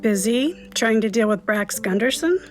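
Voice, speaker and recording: An actress playing a firm but kindly schoolteacher, measured and coaxing, in a family audio drama. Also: female voice, a little high, lively intonation, soft background music, lightly acted.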